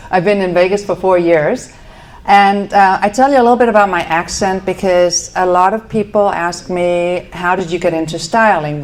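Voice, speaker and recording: A middle-aged woman from Denmark with a Danish accent, giving a chatty talk to a live audience at an event venue, with fillers and self-corrections. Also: on the low side. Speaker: speaker. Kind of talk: casual presentation to a live audience.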